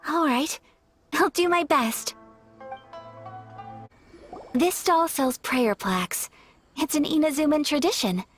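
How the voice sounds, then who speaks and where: An actress playing a polite, gentle young noblewoman in a video game, speaking with formal courtesy. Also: sits high, animated, slow, long pauses. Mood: polite, pleased. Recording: soft music behind the voice.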